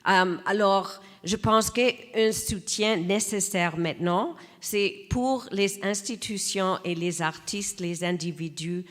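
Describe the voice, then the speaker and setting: A woman speaking as an election candidate in a debate, measured, with many hesitations. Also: mid-range voice. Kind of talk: debate statement. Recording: reverberant hall.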